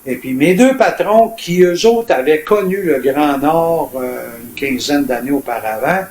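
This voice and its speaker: An older man in his seventies from Quebec, with a Quebec French accent, telling his life story in a reflective, colloquial, measured way as a retired police officer. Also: on the high side, expressive, a little mellow. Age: 70s. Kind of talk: personal storytelling in an interview. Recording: echoey room with faint noise.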